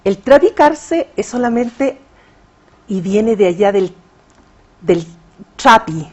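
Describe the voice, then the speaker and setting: A woman's voice: medium pitch, expressive, slow, a little mellow, highs cut off. A Chilean woman, a poet, answering interview questions in a hesitant conversational way with frequent fillers. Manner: hesitant, many fillers and restarts. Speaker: poet and writer, interview guest.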